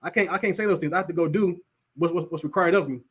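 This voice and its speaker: A man's voice: high-pitched, fast, a bit bright, husky. Stuttering man teaching scripture. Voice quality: stutters, repeats words. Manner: laughs often, talks to the class directly. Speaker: teacher leading a Sabbath class. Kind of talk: casual Bible teaching.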